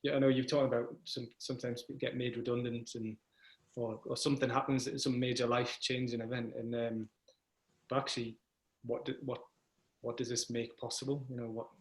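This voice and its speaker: A man with a medium-pitched voice hosts a relaxed conversation. He thinks aloud, often restarting phrases and ending points with "right?". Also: fairly quick, poor audio. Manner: thoughtful, frequent restarts, tags with "right?". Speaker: host, event organizer. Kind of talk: casual conversation.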